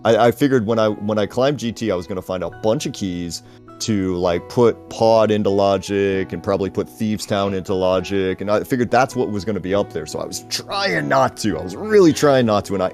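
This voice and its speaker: Low-voiced guy rambling about his race, lots of i-i-i restarts, faint music behind. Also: expressive, fairly quick, darkish tone. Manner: rambling, repeats words and restarts a lot. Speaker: tournament racer. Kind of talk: casual post-race chat.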